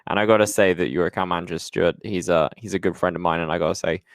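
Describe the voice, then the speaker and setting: A man's voice: sits low, fairly even intonation, fast talker, dry recording, studio quality. A man hosting an interview podcast, affirming his guest in an upbeat way and asking questions. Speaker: podcast host.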